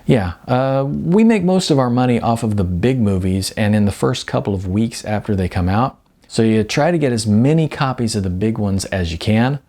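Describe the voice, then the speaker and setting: A man's voice: on the low side, lively intonation, moderate pace, warm tone. A man voicing a young video store clerk who talks in an offhand, chatty, dismissive way. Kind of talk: audiobook character voice.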